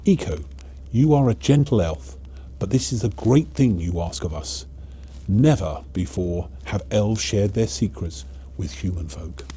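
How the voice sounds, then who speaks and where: A man voicing a stern but kind elder elf leader in a storybook, speaking in a measured, questioning way. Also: fairly low pitch, lively intonation, warm tone, breathy edge, exaggerated voice. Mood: grave doubt.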